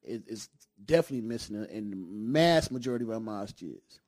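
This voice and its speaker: A man's voice: lowish voice, animated, brisk, darkish tone. A man from Detroit, a podcast guest, talking with passion in street slang, making points like a sermon. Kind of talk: casual studio conversation.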